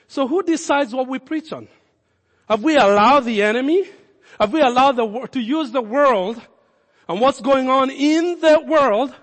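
A man with a Kenyan accent, a pastor giving a sermon, swinging from loud, emphatic calls to low, earnest asides. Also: big-room sound. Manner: impassioned preaching, rising loud then dropping low and intimate.

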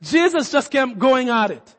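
A man from Kenya preaches in a high, ringing voice. His sermon climbs to forceful emphatic peaks, and he acts out the people in his stories. The sound carries a long hall reverb around him. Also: big pitch swings, a little slow. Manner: preaching with rising emphatic peaks and acted-out quotes. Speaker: pastor.

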